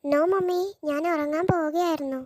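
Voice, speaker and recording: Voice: high voice, fairly even intonation, moderate pace, natural voice. A performer voicing an eager, vain little cartoon schoolgirl with a childish, exclamatory delivery. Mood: reluctant. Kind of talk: scripted cartoon dialogue.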